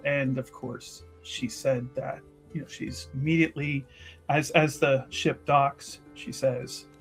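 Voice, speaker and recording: A man's voice: mid-range voice, a bit bright. A man playing a tabletop game, describing his character in hesitant, piecemeal phrases. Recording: music playing behind the voice.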